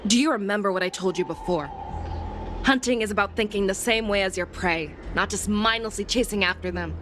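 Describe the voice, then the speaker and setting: A woman playing a shrewd, composed military commander in a video game, speaking with measured authority. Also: mid-range voice, a bit bright, slight background noise, close to an everyday voice. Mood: composed, resolute. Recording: music under the voice.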